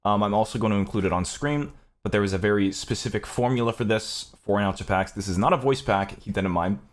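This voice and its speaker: A man's voice: lowish voice, fast talker. A man from Canada talking casually and earnestly to his viewers as a gaming content creator, rambling and swearing freely. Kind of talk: casual, unscripted talk to viewers.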